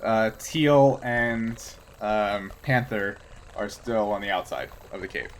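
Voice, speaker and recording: A man's voice: lowish voice, slow. A man speaking casually and jokily as game master of a tabletop roleplaying game. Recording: clearly noisy background.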